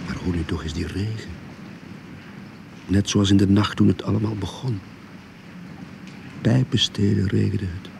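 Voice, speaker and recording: An actor playing a sly, smooth-talking, easygoing swindler telling his story in a radio drama. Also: fairly low pitch, fairly even intonation, a little slow, pauses often, very noisy. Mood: reminiscing.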